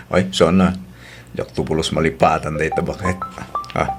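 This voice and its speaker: A man's voice: a bass voice, fairly even intonation, a little slow, music in the background. A man with a Filipino accent playing an evasive, guilty husband in an audio drama, hesitant and stammering. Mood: sheepish, affectionate. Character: evasive, guilty cheating husband making amends. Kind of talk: Ilocano audio drama acting.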